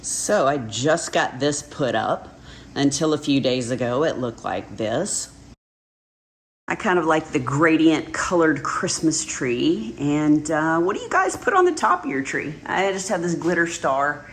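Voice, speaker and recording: A woman's voice: low voice, sing-song, a little slow, slight background noise. A woman from Dallas with a strong Texan accent, hosting her channel and chatting casually with viewers, laughing often. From Dallas, Texas. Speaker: channel host.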